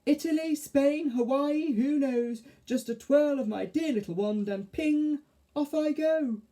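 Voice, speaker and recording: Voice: high voice, animated, unhurried, warm tone, voice pushed up. A storyteller voicing a vain, giggly female fairy in an airy, gushing and emphatic manner for a children's story. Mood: dreamy, dismissive.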